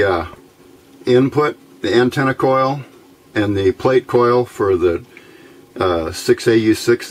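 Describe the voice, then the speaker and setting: Male, middle-aged, lowish voice. Hobbyist builder talking through hands-on work informally, no rush. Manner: informal, unhurried, explaining step by step. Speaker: amateur radio builder presenting a project. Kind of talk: casual hands-on electronics demonstration. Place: indoor workbench.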